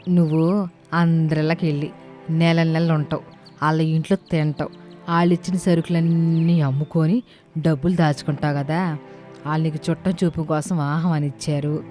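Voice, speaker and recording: A bossy older village aunt voiced by a woman in a cartoon moral tale, scolding and preaching in colloquial speech. Her voice is deep for a woman, over a music bed. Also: level tone, normal speed, long pauses, mellow, close to an everyday voice. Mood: reproachful. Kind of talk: animated moral-story dialogue.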